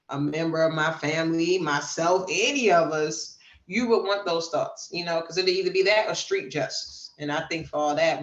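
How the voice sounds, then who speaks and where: A woman's voice: low-pitched, echoey room, highs cut off. A woman co-hosting a podcast, reading news items and reacting in casual, emphatic chat. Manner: animated, emphatic storytelling. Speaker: podcast co-host.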